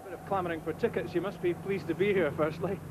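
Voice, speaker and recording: A man reporting from outside a football stadium, putting quick questions to fans. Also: high voice, steady tone. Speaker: pitchside reporter. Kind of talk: vox-pop interviewing. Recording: loud crowd and hiss on the broadcast.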